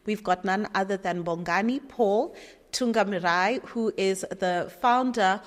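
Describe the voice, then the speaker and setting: A woman's voice: mid-range voice, a little slow, big-room sound. A woman hosting a business podcast, upbeat and encouraging, with frequent short affirmations. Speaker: podcast host. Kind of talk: hosted interview.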